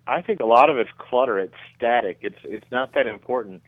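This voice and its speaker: A middle-aged man giving measured, conversational explanations as a guest in a radio interview, often repeating words as he goes. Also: mid-range voice, no room sound, phone-quality sound. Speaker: guest researcher being interviewed.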